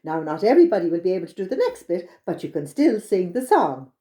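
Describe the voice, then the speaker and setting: A woman's voice: fairly low pitch, very animated, fast, mellow. A woman with an Irish accent leading a children's storytime, speaking simply and encouragingly straight to her young listeners. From Ireland. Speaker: library storytime host leading songs and exercises.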